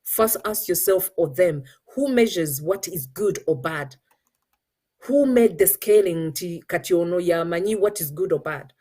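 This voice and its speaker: A woman's voice: on the low side, animated, a little slow, dark tone, breathy edge. A middle-aged woman with a Ugandan accent gives an earnest motivational talk as host, switching between English and Luganda. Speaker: show host.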